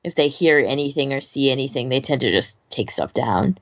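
A woman with a low voice talks casually as a podcast co-host. The sound is dry and slightly muffled. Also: lively intonation, brisk, no room sound. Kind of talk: casual discussion.

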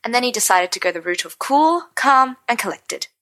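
A woman's voice: on the high side, expressive, brisk, fairly bright tone, slight background noise. A woman reading a fan fiction story aloud as an upbeat narrator. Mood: cheerful.

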